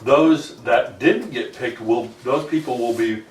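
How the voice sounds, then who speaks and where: A man explaining things to a group in an informal meeting, talking in a halting way as the organizer. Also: mid-range voice. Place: indoor meeting room. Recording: roomy, distant mic, faint room noise.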